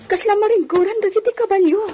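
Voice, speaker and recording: An actress with an Ilocano accent playing an anxious, devoted wife in a radio comedy-drama, with a pleading, exclaiming manner. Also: female voice, very high voice, fast talker, warm tone, a little hiss or hum. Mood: alarmed, worried.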